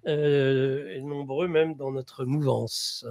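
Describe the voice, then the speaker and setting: A man's voice: medium pitch, fairly even intonation, takes it slowly, darkish tone, no echo. A man interviewing a guest on a talk show, prompting him with short interjections.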